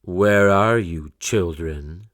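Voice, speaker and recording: A man voicing a sly old wolf who poses as a grandmother, with a slow, wheedling, drawn-out delivery. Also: low voice, fairly bright tone, no echo, studio quality, exaggerated voice. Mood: shouting.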